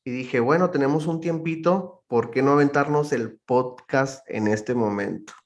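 A man's voice: medium pitch, normal speed. A man with a northern Mexican accent, a podcast guest telling stories in a slangy, laid-back casual chat.

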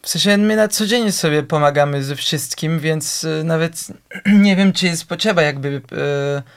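Guest, male, Polish speaker, hesitant, fills pauses a lot, smooth clear voice, a little high. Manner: hesitant, searching for Polish words, many fillers. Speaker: actor, guest. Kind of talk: casual interview chat.

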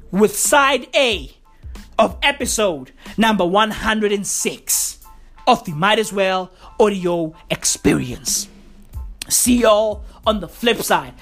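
A woman hosting a podcast in a loud, profane comedic rant, her voice going hoarse. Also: mid-range voice, slow, soft background music. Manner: loud, excitable, swearing constantly, slangy. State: hoarse, losing voice. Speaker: podcast host.

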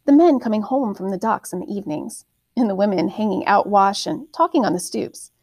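Woman, expressive audiobook narration, mid-pitched, deliberate dramatic phrasing, dry recording. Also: no room sound. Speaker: audiobook reader, teacher reading aloud. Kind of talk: expressive scripted storybook narration in first person.

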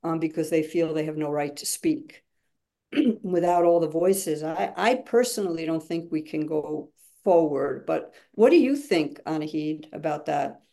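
A woman's voice: deep voice, a little slow, creaky, dark tone. A woman speaking as a guest facilitator in a webinar, explaining emphatically and acting out examples as she goes.

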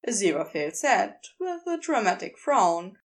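A woman reading scripted fiction as a narrator, in an expressive storytelling style. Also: mid-range voice, normal speed. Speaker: podfic narrator.

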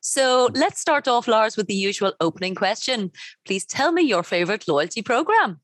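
A woman's voice: a little high, big pitch swings, normal speed, fairly bright tone, no echo. A woman hosting a podcast, reading a polished, enthusiastic intro and then interviewing her guest. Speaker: podcast host.

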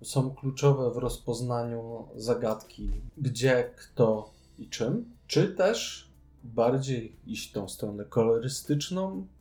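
A man's voice: mid-range voice, slow, darkish tone, soft background music. A man giving casual opinions as co-host of a board game show. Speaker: podcast co-host.

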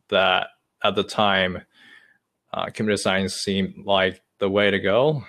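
A man's voice: fairly low pitch, fairly bright tone. A man in his twenties from China, whose first language is Chinese, telling his story in casual chat with frequent hesitations. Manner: hesitant, many uh fillers, long-winded. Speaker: podcast guest.

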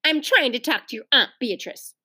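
Woman doing a gently scolding mother. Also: high voice, lively intonation, normal speed, slightly rough, no room sound, studio quality, heavily acted. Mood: fond. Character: amused but exasperated mother.

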